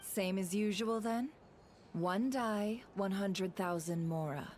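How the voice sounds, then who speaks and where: An actress playing a poised, shrewd, teasing hostess in a video game. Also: female voice, mid-range voice, slow, a little mellow, natural voice. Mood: sly. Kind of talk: video game character acting.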